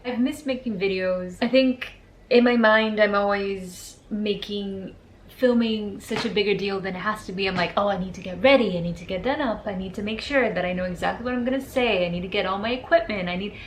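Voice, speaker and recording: A woman's voice: medium pitch, low-quality recording. Chatty young woman vlogging to camera. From born in Portugal, raised in Brazil. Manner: chatty and animated, rushing through asides. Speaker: music photographer and channel host. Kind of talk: casual vlog chat to camera. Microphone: camera's built-in mic. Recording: faint room noise, no external mic.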